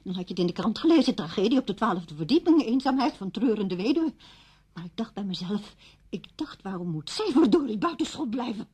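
An actress playing a despairing, bitter widow in a radio play, with a sardonic, biting manner. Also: female voice, mid-range voice, sing-song, brisk, dark tone, slightly rough. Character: bitter, despairing widow coldly holding her visitor captive. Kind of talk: Dutch radio play acting.

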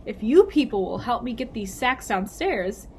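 A woman's voice: a little high, lively intonation, moderate pace, darkish tone, slight background noise. A woman voicing a carefree, jaunty male pilot in a children's story. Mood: cheerful. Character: carefree daredevil young male glider pilot, jaunty mailman.

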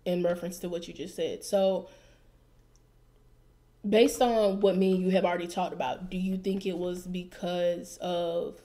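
A young woman talks things through on a casual podcast, close to a studio microphone in a small room. She hedges and reflects as she goes, letting her sentences trail off softly. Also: fairly low pitch, level tone, unhurried. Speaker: podcast co-host. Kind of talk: casual chat. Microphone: studio mic with headphones.

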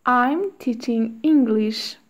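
Very slow, higher-voiced woman reading drills. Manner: reads each short phrase slowly with long pauses. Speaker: English teacher. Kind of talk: slow read-aloud drill for learners.

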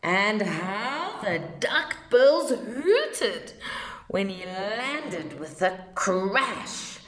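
A woman reading a children's picture book aloud in an expressive, theatrical storytelling manner. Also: fairly high pitch, echoey room. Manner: slow, theatrical delivery for children.

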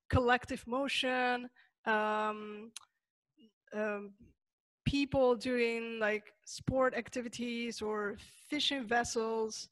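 A young woman from Peru, a Spanish native speaker with a Peruvian accent, gives an online lecture over slides, pausing often with fillers and restarts. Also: on the high side, fairly bright tone, dry recording. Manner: thoughtful, many fillers and restarts. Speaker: webinar presenter.

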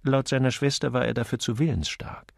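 A man's voice: mid-range voice, steady tone, fairly quick, creaky, a little mellow, no room sound, clean studio sound, voice pushed up, natural voice. A man voicing a witty, flippant upper-class amateur detective in an audiobook, chatty, wry and urbane. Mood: wry, breezy.

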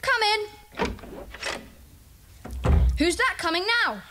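A woman voicing a bossy, eager schoolboy club leader with an English accent, brisk and commanding, in a children's audio drama. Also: extremely high pitch, very animated. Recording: noisy cassette audiobook transfer.

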